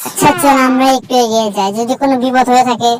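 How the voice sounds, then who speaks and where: A woman's voice: sits high, steady tone, fast, crowd noise, close to an everyday voice. A woman voicing a cautious, worried young member of a group of friends in a cartoon. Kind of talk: amateur Bangla cartoon dubbing.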